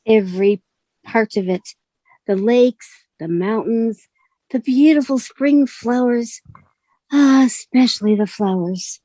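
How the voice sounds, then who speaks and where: A woman playing a mellow, lonely bookshop lady. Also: on the high side, slow, dry recording. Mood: wistful, tender. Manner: soft-spoken, earnest, flattering. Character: gentle, lonely bookshop lady, shyly admiring and wistful. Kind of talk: radio suspense drama acting.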